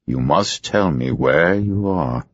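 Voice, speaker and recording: A man voicing a male hypnotist, giving soothing, slow, insistent commands. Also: very deep voice, animated, slightly rough, no echo, highs cut off, heavily acted.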